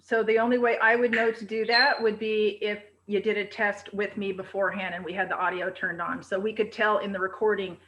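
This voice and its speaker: A woman presentation coach who projects her voice loudly and with high energy, speaking on a video call. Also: flat delivery, brisk, hardly any pauses, muffled recording.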